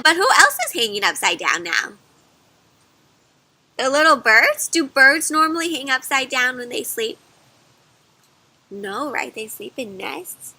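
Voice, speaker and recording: Female children's read-aloud storyteller: high, very bright voice, slow delivery, expressive and question-led, dry close sound. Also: no echo, rough recording. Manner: expressive, asks questions, chatty asides to young listeners.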